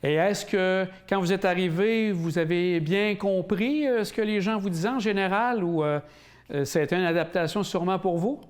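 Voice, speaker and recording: Man, host, very high voice, hesitant, filler-heavy questions. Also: normal speed. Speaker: interviewer and host. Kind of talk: community TV interview.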